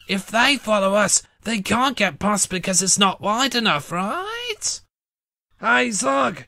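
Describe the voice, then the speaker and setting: A man's voice: high-pitched, gravelly, bright, forceful tone, dry recording, clean studio sound, exaggerated voice. A man voicing a gloating, scheming cartoon tugboat bully with slangy taunts.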